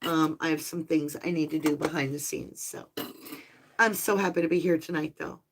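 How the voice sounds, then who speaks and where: A woman hosting a live tarot stream, talking softly and affectionately to her viewers, her voice slightly hoarse and tired. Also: deep voice, fairly even intonation, background noise. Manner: soft, slow, spiritual and affectionate, addressing viewers as lovelies. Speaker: tarot reader and channel host.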